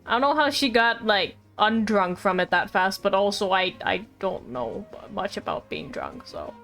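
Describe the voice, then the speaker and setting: A woman's voice: mid-range voice, sing-song, normal speed, vocal fry, a bit bright. A woman streamer reacting casually to a game, rambling and teasing, often repeating her words. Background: game music.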